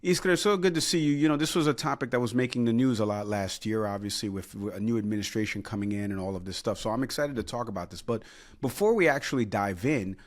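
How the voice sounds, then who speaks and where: A man with a fairly low voice hosts an interview podcast. He speaks in an easy, conversational way, with casual fillers as he leads into each question. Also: fast. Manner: friendly and conversational, uses "you know" and "like". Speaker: podcast host.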